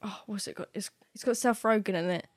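A young woman co-hosting a podcast, chatty and blunt, quick to argue her point. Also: mid-range voice, no room sound, studio quality. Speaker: podcast co-host. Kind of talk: casual chat.

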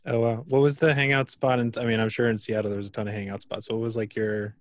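A man hosting a casual interview, relaxed and laughing, telling loose anecdotes and asking questions. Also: fairly low pitch, quick pace, no echo. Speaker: podcast host.

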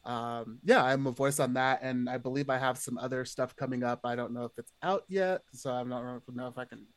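A man's voice: medium pitch, brisk, dry recording. Casual, rambling man chatting on a podcast. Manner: rambling, heavily hedged with "like". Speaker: podcast guest.

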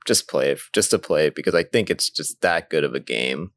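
A man's voice: extremely low pitch, animated, fairly quick, fairly bright tone. A man chatting casually about video games as a podcast co-host.

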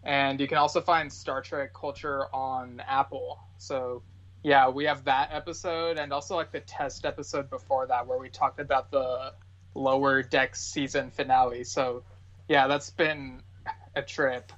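A man chats casually as a guest on a podcast. His voice sits at an average pitch, and the recording is a little dull and lo-fi. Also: level tone, measured pace, a bit bright.

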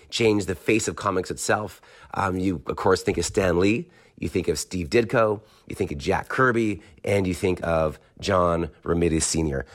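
A man with a hoarse voice from a cold, talking through a podcast segment in a measured way with pauses. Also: sits low. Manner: measured, with frequent pauses and fillers. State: hoarse, losing his voice from a cold. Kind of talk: monologue commentary, partly reading a statement.

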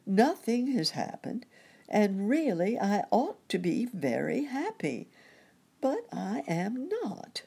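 A woman voicing a gloomy, cross old gentleman rabbit in a storybook, grumbling and fretful in old-fashioned speech. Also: very deep voice, big pitch swings, takes it slowly, vocal fry, slightly rough, exaggerated voice.

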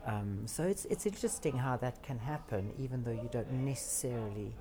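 A South African woman in a casual interview about theatre, talking in a reflective, expressive way that sometimes trails into soft asides. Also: a bass voice, a little fast, a crowd in the background. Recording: noticeable background noise.

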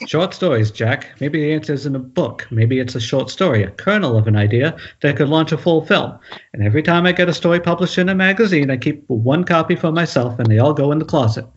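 A man's voice: on the high side, lively intonation, brisk, breathy edge. A man playing a manic, foul-mouthed horror writer in an amateur screenplay table read. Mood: excited. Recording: dull, noisy internet call audio.

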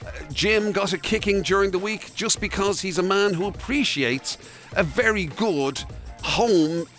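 A man's voice: sits high, unhurried, a bit bright, faint music behind. An Irish man co-hosting a radio show with casual comedic banter. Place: radio studio.

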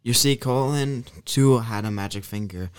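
A man narrating a folktale for a radio drama as an expressive storyteller. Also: mid-range voice, moderate pace, clean tone, no echo.

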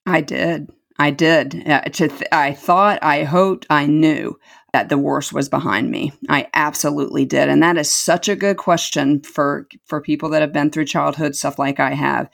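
Earnest middle-aged woman with a gravelly edge. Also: low-pitched, steady tone, normal speed, fairly bright tone. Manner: earnest, reflective, repeats words for emphasis. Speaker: interview guest. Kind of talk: personal life story in an interview.

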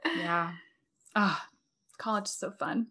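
A woman's voice: lowish voice, steady tone, slow, bright, forceful tone. A woman hosting a podcast interview, upbeat and encouraging as she steers the talk with questions. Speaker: podcast host.

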